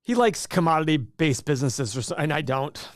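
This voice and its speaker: Chatty man with a slightly high voice. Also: very animated, brisk, no room sound, clean studio sound. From Iraq. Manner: rambling and chatty, repeats words and acts out his own thoughts. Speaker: co-host of a stock market livestream. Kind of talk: casual investing talk on a livestream.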